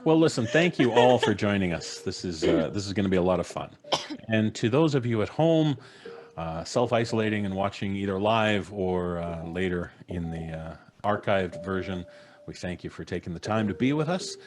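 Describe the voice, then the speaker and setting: A man's voice: low-pitched, animated, normal speed. A middle-aged man, casually hosting a live podcast show from a home studio. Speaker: podcast host. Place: home studio, video call. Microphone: microphone on boom arm, headphones.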